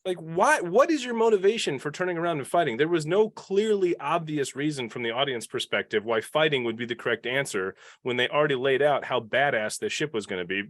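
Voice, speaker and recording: A man talking casually and opinionatedly on a podcast panel, rambling into asides and animated retellings. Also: fairly high pitch, a little fast, few pauses, no room sound, clean studio sound.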